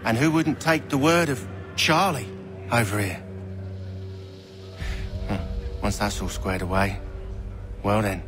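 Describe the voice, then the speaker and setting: A man's voice: lowish voice, steady tone, slow, long pauses. An actor playing a gloating henchman in a video game cutscene, taunting in a mocking, colloquial way. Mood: smug menace. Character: sneering gloating villainous henchman, cocky and taunting. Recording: game music behind the voice. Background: music.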